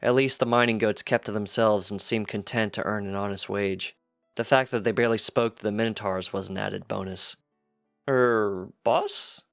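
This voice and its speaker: A man's voice: on the low side, fairly even intonation, a little fast, vocal fry, a bit bright, dry recording, studio quality, soft background music. A man reading a piece of fiction aloud as scripted narration.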